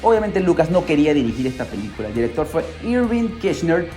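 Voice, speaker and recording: A man's voice: on the high side, very animated, fast, dark tone. A man hosting a podcast, telling a story casually and animatedly, with rhetorical questions and asides to the listeners. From Peru. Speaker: podcast host. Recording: music behind the voice.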